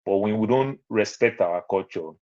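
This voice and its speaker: A man's voice: fairly low pitch, moderate pace. A man with a Nigerian accent, rambling through personal stories on an online panel. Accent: Nigerian English. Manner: rambling, storytelling, repeats phrases. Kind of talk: casual online panel talk. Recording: muffled lo-fi online audio.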